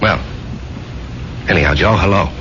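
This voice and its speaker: A man's voice: low voice, normal speed, natural voice. An actor playing an earnest, self-doubting married salesman in a 1970s radio drama, speaking hesitantly. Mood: earnest, insistent. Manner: hesitant, earnest, with repeated words. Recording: muffled, noisy 1970s radio broadcast recording.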